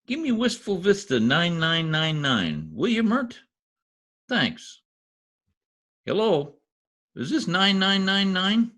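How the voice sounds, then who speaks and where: Male actor as stubborn small-town husband, exclamatory, slangy, old-time radio comedy, very animated, raised pitch. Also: slow, pauses often, heavily acted. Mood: chatty, exasperated.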